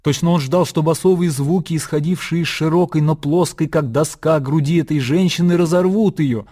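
Mellow male literary narrator. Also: fairly high pitch, normal speed. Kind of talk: literary narration in a radio drama.

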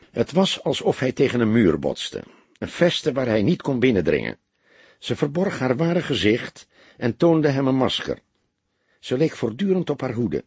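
Guy reading a crime audiobook, really husky low voice, expressive melody, dry sound. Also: dry recording. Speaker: audiobook narrator. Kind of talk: scripted literary narration of a detective novel, with character dialogue.

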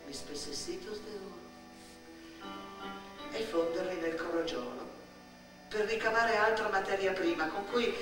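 A woman with a Northern Italian accent performing a dramatic theatrical monologue, telling stories with emphatic repetitions. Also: lowish voice. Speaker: stage actress and storyteller. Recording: echoey hall from far off, faint noise.

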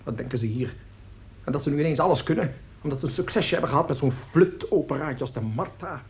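Man acting a grumpy, high-pitched orchestra conductor. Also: quick pace, a little mellow, lightly acted. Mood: scornful, irritable. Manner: blunt, muttering, exclamatory. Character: grumpy opinionated male orchestra conductor, animated and scornful. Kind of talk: Dutch radio play acting. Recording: dull, noisy old radio recording.

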